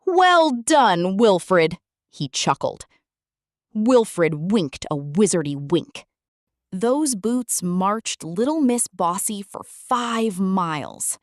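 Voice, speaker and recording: A woman reading a children's story aloud, expressive and sing-song. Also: mid-range voice, slow pace, no echo, clean studio sound.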